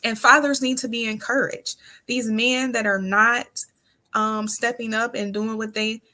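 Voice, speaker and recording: A woman's voice: fairly high pitch, steady tone, measured pace, sharp tone. An American woman talking candidly and casually as a guest, with an earnest, plain-spoken manner. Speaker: interview guest.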